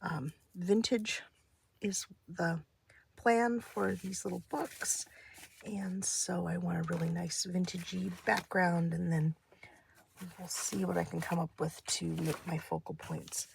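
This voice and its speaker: Slow, creaky-voiced woman, slightly nasal. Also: fairly low pitch, steady tone, background noise. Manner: hesitant, meandering, self-interrupting. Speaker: craft video host. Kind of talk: casual craft-along chat, thinking aloud. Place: indoors at a craft desk.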